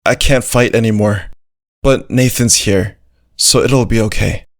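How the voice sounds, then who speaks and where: A man's voice: fairly low pitch, measured pace, dry recording, clean studio sound. A man voicing a brave, loyal little dog who narrates his own story in a simple, earnest way. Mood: weary. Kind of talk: first-person scripted story narration. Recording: music behind the voice.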